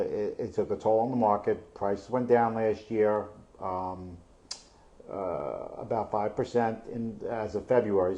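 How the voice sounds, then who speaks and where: A man's voice: fairly low pitch, warm tone. A middle-aged man co-hosting a casual real estate stats chat, rambling with many fillers and restarts and laughing often. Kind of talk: casual live stat chat.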